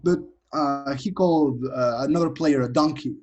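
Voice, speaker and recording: A husky man talks casually about his player character, halting over fillers and laughing often. His pitch sits in the middle range. The recording is dull and lo-fi. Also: a little mellow. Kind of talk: casual podcast chat.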